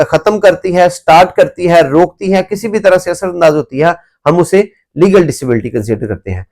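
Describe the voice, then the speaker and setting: High-voiced Pakistani man lecturing. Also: darkish tone. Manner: mixes in English legal terms; methodical, repeats and rephrases points. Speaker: law lecturer. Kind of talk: explanatory lecture with examples.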